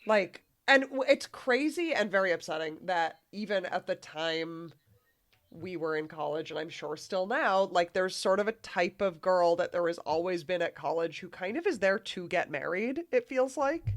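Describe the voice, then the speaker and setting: Lower-pitched woman chatting about books. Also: normal speed. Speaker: podcast guest. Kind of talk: casual book discussion.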